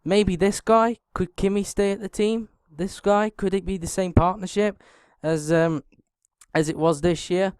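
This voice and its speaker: A young man with a sore throat and a croaky voice, hosting his own channel and chatting casually to viewers. He keeps clearing his throat and fills pauses with ums. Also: sits high, steady tone, darkish tone, no room sound, studio quality. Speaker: YouTube racing channel host.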